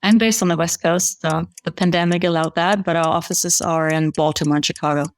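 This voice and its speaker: A woman from Germany, a company president, chatting as a podcast guest in a relaxed, friendly way. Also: on the low side, a little fast. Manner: relaxed, friendly, uses fillers like "you know". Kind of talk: conversational interview.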